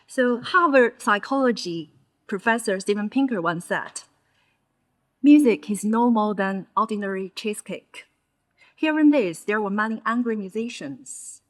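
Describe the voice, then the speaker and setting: A woman in her thirties from mainland China, a Chinese speaker with a Chinese accent touched by Scouse, giving a conference talk in measured short phrases. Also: medium pitch. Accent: Chinese with a Liverpool (Scouse) tinge. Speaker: conference presenter. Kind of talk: personal storytelling talk.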